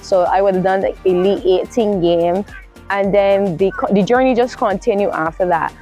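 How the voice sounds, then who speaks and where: A woman's voice: medium pitch, moderate pace, dark tone, soft background music. A woman from Barbados with a Caribbean accent, telling her story casually as an interview guest and often correcting herself as she goes.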